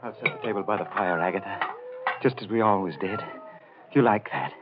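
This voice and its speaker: A man playing a brooding, jealous, quietly mad sculptor in a 1940s radio horror drama, halting and intense. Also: fairly low pitch, noisy background, natural voice. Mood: strained hospitality. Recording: muffled 1940s radio recording, music behind.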